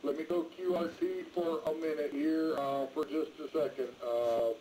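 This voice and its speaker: Folksy male ham operator, slightly high-pitched, rambling casually over a noisy radio transmission. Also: fairly even intonation, normal speed, dark tone. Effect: two-way radio transmission. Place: mobile, in a pickup truck.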